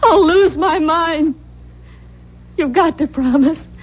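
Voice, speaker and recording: An actress playing a distraught, obsessive young widow in an old-time radio drama, intense and pleading. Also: female voice, high voice, takes it slowly. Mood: frantic, demanding. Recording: dull, muffled old radio recording.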